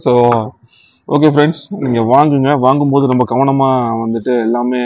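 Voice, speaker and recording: A man's voice: medium pitch, steady tone, moderate pace, darkish tone, dry recording. Casual Tamil Nadu man, dull dry recording. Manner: conversational Tamil mixed with English words. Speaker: motorcycle vlogger reviewing gear. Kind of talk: casual product review chat.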